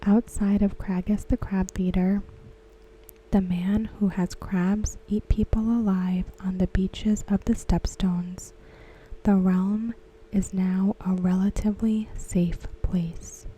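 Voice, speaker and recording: A woman speaking in a soft unvoiced whisper for an ASMR recap, slow and even throughout. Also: medium pitch, husky, poor audio. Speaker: ASMR creator.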